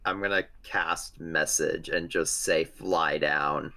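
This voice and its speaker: A man's voice: a bass voice, fairly even intonation, slow pace, creaky, sharp tone, dry recording. A man talking casually as a player in a tabletop game.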